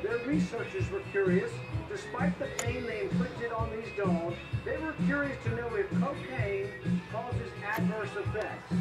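A woman's voice: on the high side, measured pace. A woman giving impassioned, rhetorical commentary, heard as television playback in a room. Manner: rhetorical, emphatic. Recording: echoey television playback, loud music.

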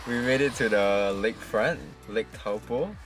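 A man's voice: fairly low pitch, measured pace, far from the mic, music in the background. A man in his twenties with a Singaporean accent, chatting casually as a travel vlogger. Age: 20s. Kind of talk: casual vlog chat. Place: mostly inside a parked or moving car.

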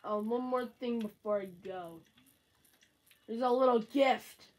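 Woman, sick and sore-throated, gameplay commentary, slow talker, phone mic in a bedroom. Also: on the high side, a little mellow, rough recording. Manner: slow, rambling, talks to self. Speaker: gamer.

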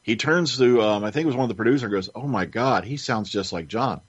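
A man with a fairly low voice talks casually and knowledgeably as a panelist. The sound is very dry and a touch muffled. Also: quick pace, slightly rough, dry recording. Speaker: podcast panelist. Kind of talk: casual informed chat.

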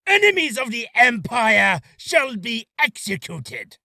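A man voicing an imperious alien warrior villain who shouts proclamations for a voice reel. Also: very high voice, very animated, takes it slowly, creaky, no echo, studio quality, exaggerated voice. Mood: imperious, furious. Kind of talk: character voice reel.

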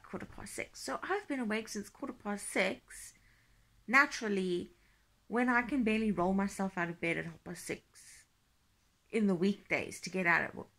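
A young woman in her twenties vlogging casually from bed, talking sleepily in a croaky, tired half-whisper into a phone held close. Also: mid-range voice, a bit bright, a little hiss or hum. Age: 20s. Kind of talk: casual vlog chat. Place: bedroom, lying in bed.

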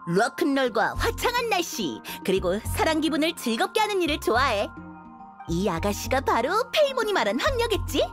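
A woman's voice: sits high, wildly swooping pitch, normal speed. A woman voicing a cheerful young musician in an anime game, with a friendly, casual, upbeat manner. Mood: friendly, admiring. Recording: music behind the voice.

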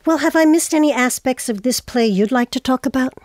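A woman's voice: sits high, fairly quick, a little mellow, no room sound. A woman hosting a radio show in a presenter's style. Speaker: radio host. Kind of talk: interviewing and scripted radio credits.

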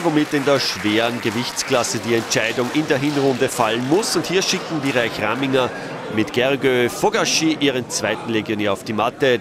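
A man giving matter-of-fact commentary as a voice-over, lifting his voice at the key moments. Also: mid-range voice. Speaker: sports commentator. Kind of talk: recorded match commentary voice-over. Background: cheering crowd in a sports hall.

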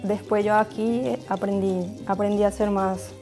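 Quiet woman from Paraguay. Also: mid-range voice, fairly even intonation, measured pace, soft background music. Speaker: interviewee. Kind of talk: testimony.

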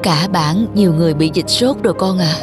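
A woman's voice: lowish voice, brisk, close to an everyday voice. Woman doing an old village mom in a cartoon, kindly, frail, plaintive, music under it. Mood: ailing, plaintive. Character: frail, worried, kindly elderly village mother. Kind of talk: cartoon dubbing.

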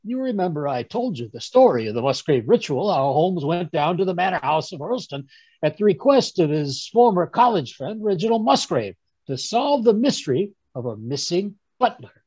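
A man playing a genial, fussy older English gentleman, chattily recounting his adventures in old-time radio drama style, with a husky voice. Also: sits high, big pitch swings, normal speed, no room sound, heavily acted. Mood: reminiscing. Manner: chatty, chuckling, rambling storyteller with stammered asides. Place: home room on a group video call. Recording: dull, dry video-call audio.

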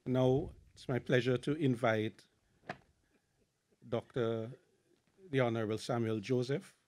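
A man's voice: mid-range voice, steady tone, unhurried, darkish tone, slight background noise. A middle-aged man making a formal introduction as master of ceremonies outdoors. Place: outdoors at an airfield. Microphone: podium microphone on a stand.

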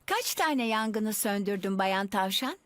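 A woman voicing a sensible, gently teasing mother pig in a children's cartoon dub. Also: medium pitch, expressive, slow, no room sound.

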